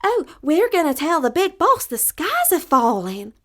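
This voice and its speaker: Woman as an excited, high singsong little chicken. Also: measured pace, heavily acted. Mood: excited, urgent. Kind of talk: fairy tale storytelling.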